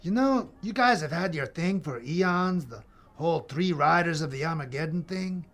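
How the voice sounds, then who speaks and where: Man playing a high-voiced, swaggering Death. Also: lively intonation, moderate pace, fairly bright tone. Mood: smooth persuading. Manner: slangy, swaggering sales-pitch talk. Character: Death, brash scheming male horseman of the apocalypse. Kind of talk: audio comedy drama acting.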